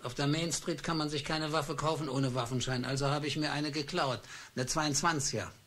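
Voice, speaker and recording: A meek clerk turned bluffer. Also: male voice, a little high, steady tone, moderate pace, fairly bright tone. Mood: excited. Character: meek, downtrodden male office clerk. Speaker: narrator and lead of a radio play. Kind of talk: radio drama with first-person narration.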